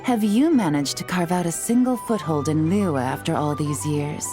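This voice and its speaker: A woman's voice: low-pitched, moderate pace, music in the background, close to an everyday voice. A woman playing a shrewd government official in a video game, wry, blunt and guarded. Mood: wry, wary. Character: shrewd, dry-witted female government official.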